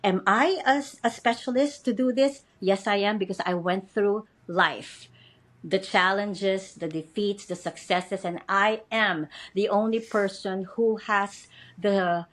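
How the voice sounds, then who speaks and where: A woman's voice: mid-range voice, unhurried. Filipino-accented woman, earnest guest. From Philippines. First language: not English. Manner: earnest, repeats and restarts phrases. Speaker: podcast guest. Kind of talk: interview conversation.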